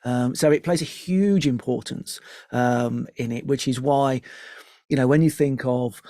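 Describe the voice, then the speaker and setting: A man's voice: medium pitch, measured pace, dark tone, dry recording. Man explaining earnestly, close dry sound. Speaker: music company founder being interviewed. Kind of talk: explanatory interview talk.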